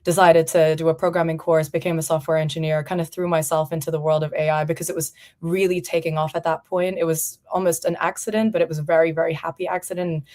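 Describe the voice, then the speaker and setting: Low flat-ish voice, woman from portugal, thirties, chatty and reflective, lots of "you know". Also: quick pace, few pauses. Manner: reflective, conversational, frequent "you know" fillers. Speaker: founder and CEO, guest. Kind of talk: casual interview conversation.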